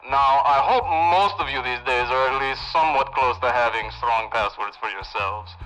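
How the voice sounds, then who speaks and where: An actor playing a cocky, self-important technomancer who gives breezy, instructive safety announcements on the radio in a sci-fi audio drama. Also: male voice, medium pitch, moderate pace, sharp tone, dull audio, lightly acted. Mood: instructive, smug. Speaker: in-story radio broadcaster.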